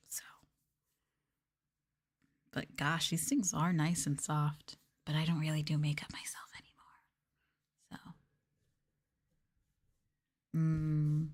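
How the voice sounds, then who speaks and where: A woman streamer chatting softly and gently in an ASMR style, often slipping into a whisper, close to the microphone. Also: low-pitched, steady tone, takes it slowly, pauses often. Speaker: streamer host of an ASMR livestream. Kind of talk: soft-spoken ASMR chat with whispering. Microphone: close microphone for headphone listening.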